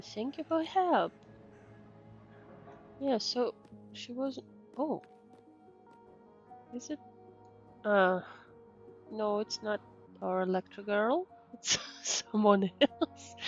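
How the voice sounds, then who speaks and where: A woman's voice: medium pitch, expressive, slow, pauses often. A woman VTuber giving casual commentary on a game and reading dialogue aloud. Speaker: VTuber streamer. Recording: dull microphone, game music behind.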